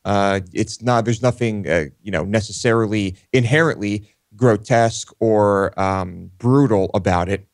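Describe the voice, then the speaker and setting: Low-voiced man, hesitant co-host. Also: unhurried, few pauses, dry recording, studio quality. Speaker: talk show co-host. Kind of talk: casual unscripted discussion.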